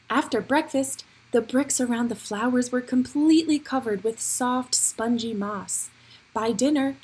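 A woman reading a picture book aloud for young children, with expressive, deliberate storytelling phrasing. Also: fairly high pitch, breathy edge, rough recording.

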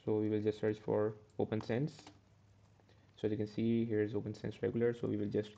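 A man's voice: on the low side, monotone, fairly quick, vocal fry, warm tone, dull audio. A man teaching a step-by-step coding tutorial over a screen recording, correcting himself as he goes. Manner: step-by-step, self-correcting with "uh" and "okay". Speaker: programming tutor.

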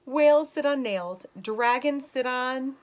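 A woman voicing a thoughtful cat in a storybook, slowly reciting a long list of rhymes. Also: sits high, pitched above the performer's own voice. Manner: slow, measured recitation.

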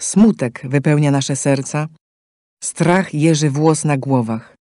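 Mellow, higher-voiced male game narrator. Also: slight background noise. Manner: solemn, measured. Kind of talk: scripted dramatic game story narration. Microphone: close studio microphone.

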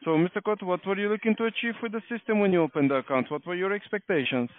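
Very high-pitched man on a phone line. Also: fast, dry recording. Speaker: call center agent. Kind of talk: argumentative phone call. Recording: dry narrow phone line.

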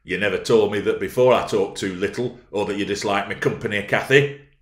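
Male reader as brooding teenage boy, low, morose, resentful, insistent, storybook character, echoing room. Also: expressive, normal speed. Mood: wounded. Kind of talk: audiobook character voice.

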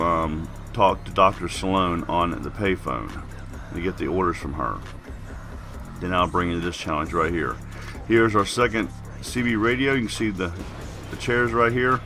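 A man giving casual, plain-spoken game walkthrough commentary as a video host while getting over a cold. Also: deep voice.

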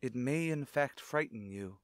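A man's voice: medium pitch, lively intonation, unhurried, dry recording, clean studio sound, close to an everyday voice. A man playing a brooding, secretive widowed father in formal, grave, melodramatic old-time radio suspense style. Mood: grave, confiding. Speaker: radio drama actor.

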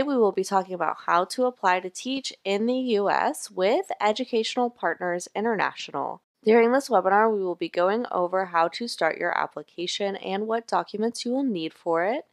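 A woman's voice: mid-range voice, dry recording. American woman presenting, close and dry. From mountains of North Carolina, USA. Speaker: webinar host, communications director. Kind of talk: scripted webinar presentation.

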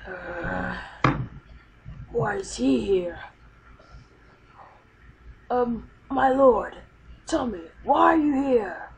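A woman's voice: a little high, lively intonation, very slow, gravelly, long pauses, exaggerated voice. A woman voicing a proud, uneasy male alien warrior prince in a game roleplay, wary and questioning. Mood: alarmed. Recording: noisy home recording.

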